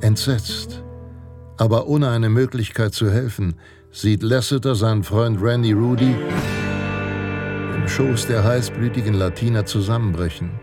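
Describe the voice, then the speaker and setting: An actor playing a solemn male narrator of a Western tale, telling it in a measured, dramatic radio-play style. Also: lowish voice. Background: guitar music.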